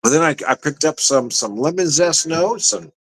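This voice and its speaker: A man's voice: on the high side, lively intonation, normal speed, slightly rough. Chatty man rambling through a tasting. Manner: chatty, rambling, laughs often. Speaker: drinks reviewer. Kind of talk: casual tasting review.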